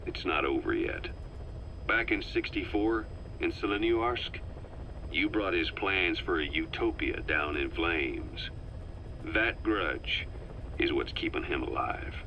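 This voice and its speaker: An actor playing a wary, brooding veteran intelligence operative, giving briefings in a measured, grave manner for a game. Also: male voice, low-pitched, lively intonation, sharp tone, dull audio, slight background noise, exaggerated voice. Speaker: briefing officer. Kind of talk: dramatic game briefing dialogue.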